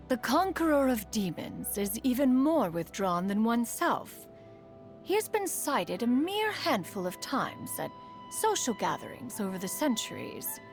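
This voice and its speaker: A woman voicing a proud, refined, aloof ancient immortal in a video game, speaking formally and loftily. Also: on the high side. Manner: formal, haughty, calls herself "one". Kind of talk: scripted video game character voice lines. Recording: music behind the voice.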